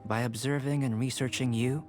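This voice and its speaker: A young male scholar from a video game, played by a man. He speaks at a middle pitch in a calm, cerebral, formal way, with quiet curiosity. Faint music plays behind him. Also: natural voice. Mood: calm, reassuring. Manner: measured, articulate, cerebral, formal. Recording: background music.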